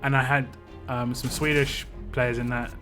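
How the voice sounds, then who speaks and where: A man's voice: mid-range voice, flat delivery, normal speed. A man chatting casually on a live stream while he plays a game, rambling and answering chat. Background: game music.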